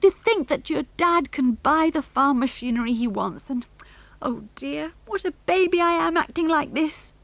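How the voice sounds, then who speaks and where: Woman playing an emotional, high-voiced farm mother. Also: expressive, unhurried, no echo. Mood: tearful joy. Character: kindly, emotional farm mother, flustered and grateful.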